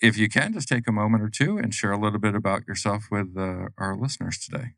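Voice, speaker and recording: A man's voice: on the low side, fast, gravelly, a bit bright, no echo, clean studio sound. A man hosting a business podcast, asking questions in a friendly, joking way. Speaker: podcast host. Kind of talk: casual interview chat.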